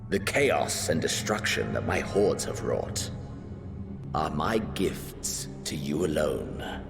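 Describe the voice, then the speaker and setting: Slow, menacing warrior prince. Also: male voice, rumbling low, sing-song, full character voice. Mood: grandiose, fervent. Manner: theatrical, slow, grandiose phrasing with breathy hushes. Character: menacing, refined warrior prince obsessed with a rival. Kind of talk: dramatic video game cutscene acting. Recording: loud music behind the voice. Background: dramatic game music.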